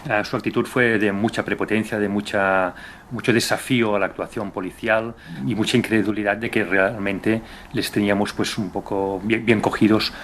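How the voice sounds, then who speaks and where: A middle-aged police commissioner, a man, recalling a case in an interview in a hesitant, measured way. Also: fairly low pitch, little pitch movement, a bit bright, slight background noise.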